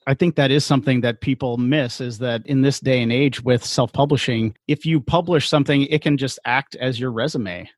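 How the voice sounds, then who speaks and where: A man's voice: mid-range voice, normal speed, few pauses, no echo. Agreeable man chatting, dry close sound. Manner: agreeable, chuckles often. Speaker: podcast host, self-publishing game designer. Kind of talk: casual interview chat.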